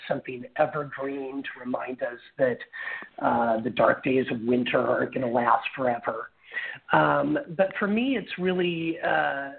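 Man, talk show guest, a bit hoarse, creaky voice, casual explaining, thin phone-line sound. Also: medium pitch. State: slightly hoarse, getting over the flu. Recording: noisy, phone-like line with clicks.

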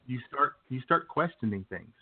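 A man's voice: mid-range voice, animated, normal speed, slightly rough. A man co-hosting a casual radio show with wry, teasing banter. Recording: tinny phone line.

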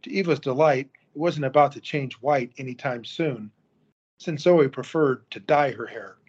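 A man narrating an audio drama in a steady storytelling manner. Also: medium pitch, moderate pace, breathy edge. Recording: dull lo-fi recording.

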